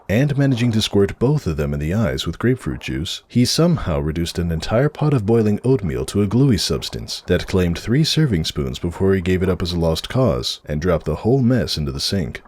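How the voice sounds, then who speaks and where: A man narrates a storybook tale in a low voice. His delivery is dramatic and swings widely in pitch, over clearly audible background ambience. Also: darkish tone, a little hiss or hum. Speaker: narrator of a fan fiction reading.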